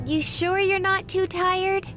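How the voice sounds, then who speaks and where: A man's voice: very high-pitched, flat delivery, takes it slowly, pitched above the performer's own voice. A man voicing a caring, curious young woman from a visual novel. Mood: gently curious. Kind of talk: visual novel character reading. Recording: music behind the voice.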